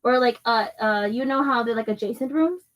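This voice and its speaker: A young woman playing a tabletop game, chatting casually and playfully in a giggly, animated manner with little acted asides. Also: on the high side, fairly quick.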